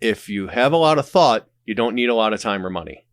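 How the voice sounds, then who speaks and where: A man's voice: lowish voice, animated, fast talker, no room sound, studio quality. A man with a smoker's voice chatting casually as a podcast guest, wry and self-deprecating, given to rambling asides. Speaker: podcast guest, audio drama creator. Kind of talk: casual conversational interview.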